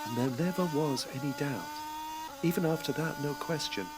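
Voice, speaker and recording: A man's voice: on the high side, moderate pace, noisy background. A man giving scripted dramatic narration in an intense, rhythmic way. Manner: intense, rhythmic, second-person at times.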